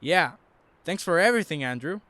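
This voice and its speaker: A man's voice: a little high, animated, fairly quick, bright, forceful tone. A man playing a reassuring, hopeful young husband in an audio drama, speaking earnestly.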